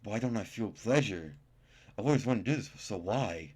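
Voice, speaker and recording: A man's voice: on the low side, animated, normal speed, a little mellow, breathy edge. A man voicing a tormented, self-loathing young psychopath from a visual novel, intense and emphatic. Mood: anguished, frantic. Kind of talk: dramatic read-aloud of visual novel dialogue.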